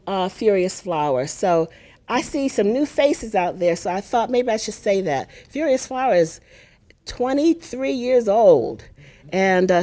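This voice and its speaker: An older woman directing a poetry center, giving chatty welcoming remarks with a big voice and many fillers to a live audience in a university hall. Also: medium pitch. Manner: warm, chatty, unhurried with frequent fillers.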